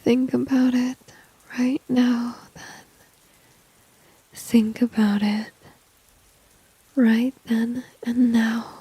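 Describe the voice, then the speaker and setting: A woman's voice: fairly high pitch, level tone, very slow, pauses often, warm tone, slightly rough, no room sound, heavily acted, low-quality recording. A woman playing a flirty, dominant, confident villain in an ASMR roleplay, whispering breathily and teasingly close to the microphone. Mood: coaxing, confident. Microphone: close microphone, dry.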